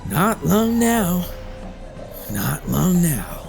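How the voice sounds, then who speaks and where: A sardonic, foul-mouthed exorcist played by a man speaks in a gravelly, fairly high voice that swings wildly up and down. He takes his time, dramatic and sarcastic, over prominent music in an audiobook drama. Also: sing-song, drawn-out words, heavily acted. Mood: dry, theatrical. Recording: background music.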